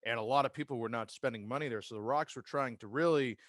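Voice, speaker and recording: A man's voice: mid-range voice, quick pace, no room sound. American man chatting in a dry, close recording. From Massachusetts, United States. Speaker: podcast guest, sports public address announcer and radio host. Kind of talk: casual conversational interview, career storytelling.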